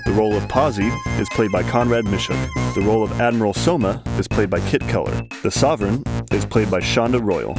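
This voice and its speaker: A man reading scripted podcast credits as an announcer. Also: on the low side. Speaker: credits announcer and sound designer. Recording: electronic music under voice. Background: electronic music.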